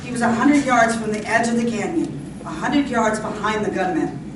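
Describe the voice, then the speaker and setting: A woman's voice: mid-range voice, normal speed. A woman giving dramatic scripted narration for an old-time radio play, performed live on stage. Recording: roomy, distant, very noisy live stage recording.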